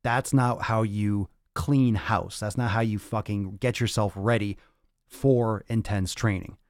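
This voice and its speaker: Blunt, low-voiced male fitness coach. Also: fairly even intonation, unhurried, dry recording, studio quality. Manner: blunt, emphatic, profane, repeats phrases for emphasis. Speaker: fitness coach hosting a podcast. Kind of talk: motivational rant to livestream audience.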